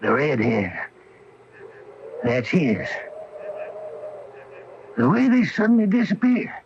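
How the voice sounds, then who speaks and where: A good-natured small-town handyman, high and swooping in pitch with a slow, excitable delivery. The sound is a muffled 1980 radio drama broadcast with faint hiss. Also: gravelly, heavily acted. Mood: spooked.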